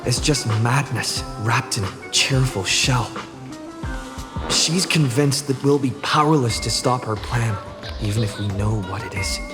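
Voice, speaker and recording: A man's voice: mid-range voice, a little slow, lightly acted. A man playing an earnest, determined young adventurer in a video game, speaking in a frank, casual way. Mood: resolute. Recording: loud music over the voice.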